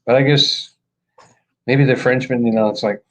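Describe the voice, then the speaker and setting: A man's voice: mid-range voice, fairly even intonation, normal speed. A man co-hosting a casual live-stream chat, telling jokes in a playful storyteller's manner.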